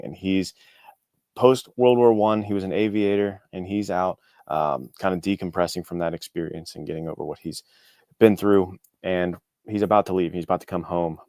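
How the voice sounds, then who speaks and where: A man with a deep voice, recorded close and dry, chats as a guest author. He explains things informally and at length, with an enthusiastic, rambling manner. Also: darkish tone, dry recording. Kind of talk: casual conversational interview.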